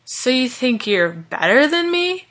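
A woman's voice: high-pitched, sing-song, measured pace, fairly bright tone, pitched above the performer's own voice, exaggerated voice. A woman voicing a hot-tempered drunk young man in a story, taunting with slurred words. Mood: angry, mocking.

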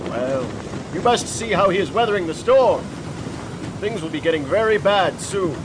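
A man playing a steady, fatherly, seasoned sea captain in a radio drama. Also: high-pitched, moderate pace. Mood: steady, kindly. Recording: loud wind and sea effects behind. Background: wind and waves.

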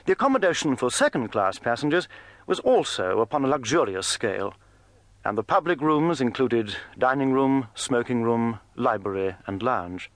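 Male reader, formal scripted delivery, medium pitch, dry close recording. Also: very animated, moderate pace, dry recording.

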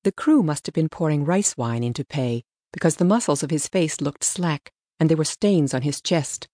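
A woman's voice: low voice, expressive, normal speed, warm tone, dry recording, clean studio sound. A woman reading scripted story narration as an audiobook narrator.

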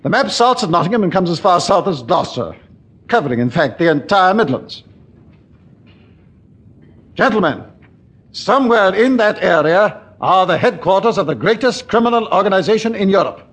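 A senior British police chief in a 1940s radio play. His voice sits fairly high for a man and swings widely as he speaks. He is brusque, pompous and short on patience, used to giving orders. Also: very animated, normal speed, a bit bright, heavily acted. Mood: commanding. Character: irritable, authoritative senior Scotland Yard police chief.

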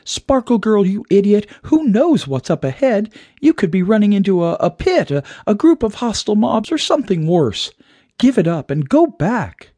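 A man's voice: high-pitched, sing-song, moderate pace, warm tone, no echo, voice pushed up. A man voicing a brave, hot-tempered young female adventurer in a storybook, defiant and exclaiming. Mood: scolding herself. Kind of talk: audiobook character voice.

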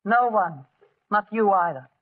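An actress playing a gentle yet spirited young refugee woman with simple, artless phrasing in vintage radio drama style. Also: mid-range voice, lively intonation, a little slow. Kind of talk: 1940s radio acting. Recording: narrowband vintage radio recording with music.

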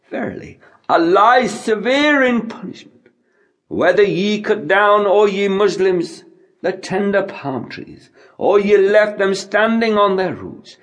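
A man's voice: high voice, a bit bright. A man narrating scripture as an audiobook reader, in a solemn, declamatory recitation delivered phrase by phrase.

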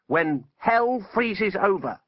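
Bitter, high-strung exiled wizard played by a man. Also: very animated, takes it slowly, muffled recording, exaggerated voice. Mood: defiant, bargaining. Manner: impassioned, indignant, snarling. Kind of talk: audiobook character voice.

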